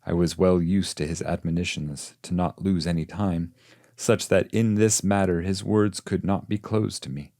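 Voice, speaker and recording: A man reading a classic poem as literary audiobook narration, in a measured, solemn manner. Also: sits low, steady tone, warm tone, dry recording, clean studio sound. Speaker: translator and reader of a classic poem.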